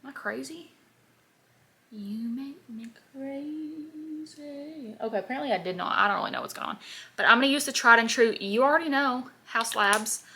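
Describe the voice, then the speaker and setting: Woman in her twenties, sassy beauty chat, bright clear tone, slightly high voice. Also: moderate pace, a little hiss or hum. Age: 20s. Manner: chatty, sassy, animated asides. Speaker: beauty vlogger. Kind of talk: casual get-ready-with-me chat. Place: home, at her parents' house.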